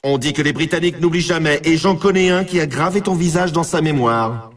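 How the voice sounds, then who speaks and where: A man playing an excitable race announcer in a snowboarding video game, emphatic and talking straight to the player in a familiar, needling way. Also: a little high, a little fast, distant mic, lightly acted. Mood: teasing warning. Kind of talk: hyped sports commentary for a video game.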